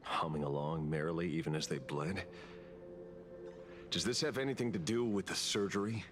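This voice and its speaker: A man's voice: low-pitched, steady tone, normal speed, natural voice. An actor voicing a stern male interrogator in a video game, cold and probing, lecturing as he questions people. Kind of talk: game dub dialogue. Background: game music.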